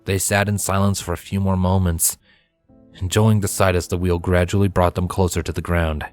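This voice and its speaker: A man with a low voice narrates a piece of fiction. He reads from a script as a storyteller, with music playing behind his voice. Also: little pitch movement, moderate pace, a little mellow. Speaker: narrator, fan fiction reader.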